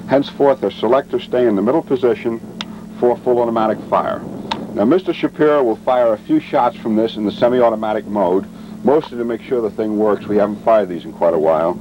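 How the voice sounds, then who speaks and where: A man giving a military training lecture as an instructor, matter-of-fact and measured, speaking in short deliberate phrases. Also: on the low side, highs cut off. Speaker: weapons instructor and presenter. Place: outdoors at a weapons demonstration. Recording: vintage training film, noisy outdoor sound.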